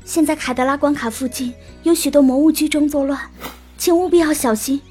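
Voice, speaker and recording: A kind young woman in an audio drama, performed by an actress. She speaks in a high, caring voice with faint music behind her. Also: steady tone, brisk, darkish tone, lightly acted. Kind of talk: Chinese audio drama acting.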